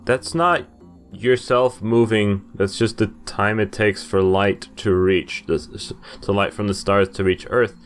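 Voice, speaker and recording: A man's voice: on the low side, unhurried. A young man giving casual playthrough commentary and reading game text aloud, rambling and hesitant. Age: young adult. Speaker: gaming video host. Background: game music.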